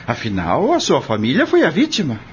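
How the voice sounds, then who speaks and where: A man's voice: a little high, wildly swooping pitch, fairly quick, slight background noise. Guy acting a worked up humble farm man in a radio novela, voice swings a lot, dull lo-fi sound. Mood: resolute, questioning. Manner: emotional, emphatic, plain rural speech. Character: humble married farm man, earnest, indignant and anguished. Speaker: actor in an audio drama. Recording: dull lo-fi audio drama recording. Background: prominent background music.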